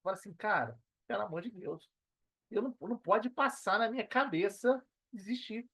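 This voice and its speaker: A man's voice: high-pitched, moderate pace, pushed and bright, slightly rough, dry recording, clean studio sound. A Brazilian man chatting motivationally on a podcast, emphatic and slangy, repeating himself to press his point. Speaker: podcast participant. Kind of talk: casual motivational podcast chat.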